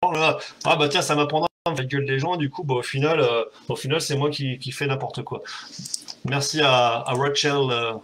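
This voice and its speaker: A man's voice: medium pitch, fairly even intonation, moderate pace, a bit bright, slight background noise. A man hosting a casual live stream from home, rambling and self-mocking with many fillers, his keyboard audible on the desk mic. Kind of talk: casual live chat with viewers. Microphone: desk mic, typing audible.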